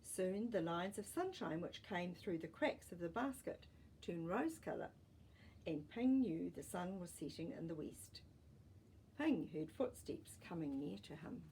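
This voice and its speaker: Slow-paced woman reading a storybook. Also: on the low side, big pitch swings, gravelly, darkish tone, slight background noise, poor audio. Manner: deliberate, dramatic pauses and emphasis. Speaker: storyteller reading a children's book. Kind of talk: expressive storybook narration with asides to young listeners.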